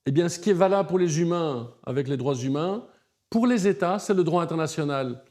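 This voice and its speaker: A man's voice: on the high side, expressive, fairly quick, a little mellow. A middle-aged man giving articulate, emphatic interview answers as a human rights advocate. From Belgium. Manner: articulate, emphatic, builds argument in lists.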